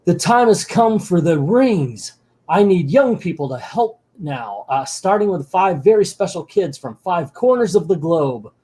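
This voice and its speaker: A man's voice: sits high, animated, mellow, husky, voice pushed up, exaggerated voice. Gaia, a man raising his voice for the earth spirit. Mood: solemn. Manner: formal, measured read-aloud.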